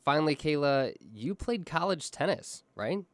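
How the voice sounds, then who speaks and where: A man interviewing employees, asking smooth prepared questions. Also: on the high side, very animated, normal speed, no echo.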